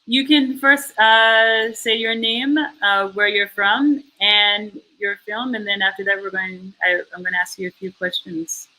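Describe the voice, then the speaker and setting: A woman's voice: mid-range voice, steady tone, measured pace, hardly any pauses, sharp tone, poor audio. A woman hosting a festival Q&A on a video call, friendly and hesitant, with many fillers and small laughs. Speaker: festival Q&A host.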